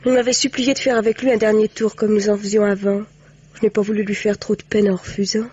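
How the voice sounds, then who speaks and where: Breathy, anxious young woman, acted by an actress. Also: mid-range voice, flat delivery, quick pace, a little mellow, natural voice. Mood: subdued confiding. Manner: hesitant, pleading, melodramatic. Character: frightened, guilt-ridden young woman confiding in police. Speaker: actress in a radio mystery play. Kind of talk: 1950s French radio drama acting. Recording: muffled old radio recording.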